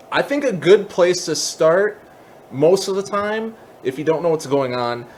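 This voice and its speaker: A man hosting a casual software tutorial, explaining things step by step in an informal way. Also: medium pitch, a little hiss or hum. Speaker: tutorial host.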